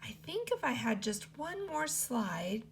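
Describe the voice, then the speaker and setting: A grumbling, never-satisfied storybook shape, as a woman puts it on. The voice sits higher than her reading voice and has a gravelly edge. It swoops up and down as it complains. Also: sing-song, unhurried, slight background noise. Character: restless shape always wanting more.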